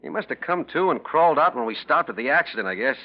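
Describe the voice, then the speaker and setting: Gruff wry cop, medium pitch, slangy, dull old radio recording. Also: male voice, animated, quick pace. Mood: wry, grudging. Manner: blunt, wry. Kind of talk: 1940s radio acting.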